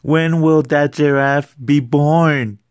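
A man's voice: fairly high pitch, fairly even intonation, slow pace. A young man with a Mexican American accent, chatting jokily and rambling to the camera in his bedroom, filmed on a phone at arm's length. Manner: rambling, joking, filler-heavy. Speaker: vlogger. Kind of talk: comedic vlog chat. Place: small cluttered bedroom, indoors.

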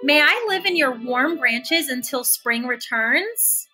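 Lady doing a little hurt girl bird from a storybook, high and slow, pleading politely. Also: animated, sharp tone, faint music behind, heavily acted. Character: small injured female bird, polite and pleading.

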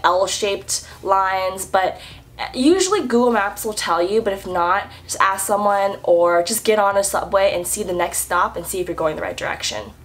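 Chatty young woman, flu-roughened voice. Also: mid-range voice. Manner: chatty, upbeat, lively hand gestures. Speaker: vlogger. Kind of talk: casual explainer vlog. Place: indoor room with french doors.

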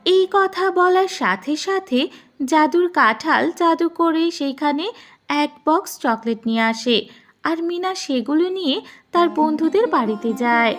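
A woman reading scripted narration for a children's animated fairy tale. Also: high-pitched, moderate pace, soft background music.

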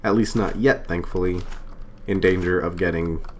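A man's voice: deep voice, steady tone, normal speed, a little hiss or hum. A man getting over a cold, chatting casually and thinking aloud as he plays through a game, with fillers and small laughs at himself. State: recovering from a cold. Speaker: let's play host. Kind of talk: casual gameplay commentary. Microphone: desk microphone.

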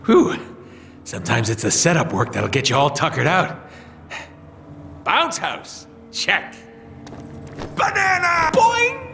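Manic masked merc from a video game, really high voice, drawn-out cocky jokes, childish. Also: male voice, very animated, gravelly, bright, forceful tone, slight background noise, full character voice. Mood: playful smug. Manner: cocky, jokey, swooping delivery. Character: manic, wisecracking, childish masked male mercenary antihero.

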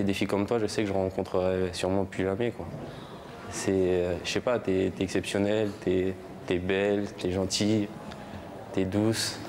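A young man with a cold, making a hesitant, halting love confession on a TV studio stage. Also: deep voice, a little mellow. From Normandy. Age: young adult. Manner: hesitant, halting, many restarts and fillers. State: has a cold. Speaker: guest declaring his love. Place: large TV studio with audience. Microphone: lapel mic. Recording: studio with clear background noise.

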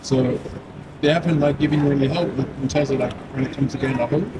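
A man's voice: medium pitch, fairly even intonation, fast. A man asking questions casually as a street interviewer. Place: outdoors in a city street at night. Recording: noisy street with traffic ambience.

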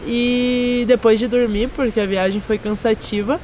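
A young woman in her twenties from southern Brazil with a southern Brazilian accent, casually narrating a road trip, a little hoarse. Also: fairly high pitch, unhurried, a little hiss or hum, rough recording. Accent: southern Brazilian Portuguese. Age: 20s. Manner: friendly, relaxed storytelling with light laughs. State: slightly hoarse after a party. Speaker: travel vlogger. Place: outdoors, garden and hilltop trails.

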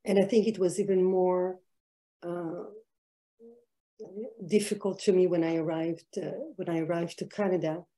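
A woman's voice: on the low side, level tone, moderate pace, long pauses, dark tone, rough recording. A middle-aged woman from Israel, an artist answering interview questions over a video call, speaking reflectively with many fillers and restarts. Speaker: artist guest.

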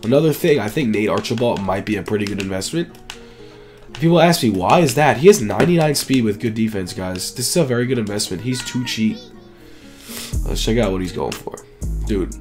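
Male gaming YouTuber, 20s, raspy and sore-throated from flu, informal slangy commentary, music behind. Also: lowish voice, lively intonation, brisk, a little mellow. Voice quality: raspy, dry voice. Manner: slangy, informal, repetitive emphasis. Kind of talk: casual gaming video commentary.

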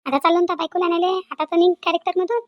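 A woman's voice: very high-pitched, steady tone, racing, lo-fi sound. A woman playing a middle-aged, insistent village sister-in-law in a Marathi cartoon comedy, with a Vidarbha accent, coaxing and scolding repetitively. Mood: exasperated scolding. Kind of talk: cartoon family comedy dialogue.

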